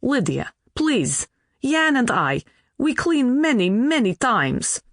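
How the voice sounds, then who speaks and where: A woman voicing an earnest young Polish man in an audiobook, halting and pleading, with a Polish accent. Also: a little high, very animated, no room sound, studio quality, voice pushed up, exaggerated voice.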